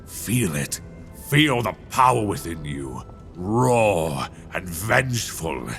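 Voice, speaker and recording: Male actor as an ancient, towering dragon for an ASMR roleplay; low, unhurried, seductive and menacing, close binaural mic. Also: big pitch swings, fairly bright tone, faint music behind, heavily acted. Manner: slow, intimate, coaxing and menacing.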